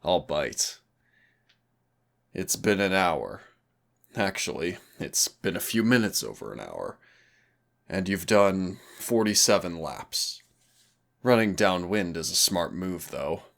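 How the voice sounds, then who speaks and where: A predatory demon acted by a man drills his human trainee in an audio roleplay. His voice sits very low with a heavy gravelly creak. He taunts with mock courtesy and gives orders with smug, dangerous ease. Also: very animated, slow, pauses often, a bit bright, exaggerated voice. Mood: predatory, playful. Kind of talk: scripted roleplay audio acting.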